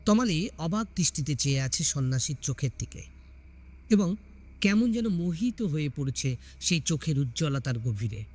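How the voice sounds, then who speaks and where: A man's voice: on the high side, expressive, normal speed, a little mellow. A man reading a scripted story aloud as a dramatic storyteller.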